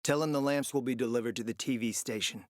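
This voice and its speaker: A man's voice: mid-range voice, fast talker, no room sound, studio quality, natural voice. An actor playing a smug, flirtatious, decadent gourmand fixer in a video game, speaking in a pompous, theatrical, condescending way and savoring his words. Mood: smug, scheming. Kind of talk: video game character acting.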